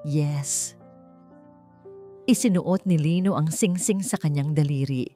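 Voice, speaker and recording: Female story narrator, slightly low register, dramatic scripted romance read, soft music bed. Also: normal speed. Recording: music under the voice. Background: background music.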